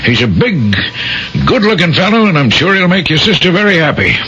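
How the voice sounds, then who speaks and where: An actor playing a genial, avuncular chief of staff in a 1970s radio drama, hearty, paternal and folksy. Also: male voice, sits high, very animated, a little fast, bright, forceful tone, husky, heavily acted. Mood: reassuring. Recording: dull, noisy old radio broadcast recording.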